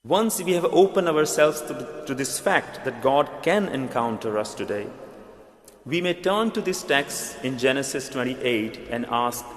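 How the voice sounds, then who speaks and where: A man with an Indian accent delivers a sermon in an earnest, measured way, his voice sitting in a middle pitch. The sound rings out through a large church hall with a long, echoing reverb. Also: lively intonation. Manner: earnest, measured preaching with restarts. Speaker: preacher.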